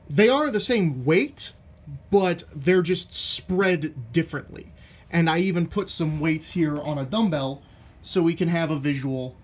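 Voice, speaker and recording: Higher-pitched man, casual explainer. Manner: informal, mildly profane. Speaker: fitness product reviewer. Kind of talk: casual product comparison, explaining. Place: carpeted room at home.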